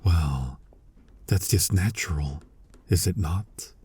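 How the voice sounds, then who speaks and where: A man playing a flirty, dominant incubus demon in an intimate ASMR roleplay, teasing the listener in a husky, breathy voice that often drops to a whisper. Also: low-pitched, warm tone, heavily acted. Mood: predatory, teasing. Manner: slow, sultry, teasing, addressing listener directly. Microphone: close mic, dry.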